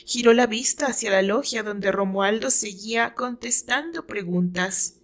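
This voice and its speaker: A woman's voice: medium pitch, unhurried. A woman with a Mexican accent reading fiction aloud as a dramatic narrator. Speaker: author narrating her own fiction.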